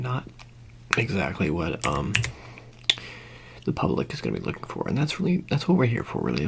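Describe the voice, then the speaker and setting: A man speaking softly in an ASMR roleplay, halting and casual, often slipping into a whisper. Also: background noise. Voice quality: soft, breathy, often dropping into whisper. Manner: halting, casual, low-key official patter. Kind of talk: ASMR roleplay, soft-spoken, improvised.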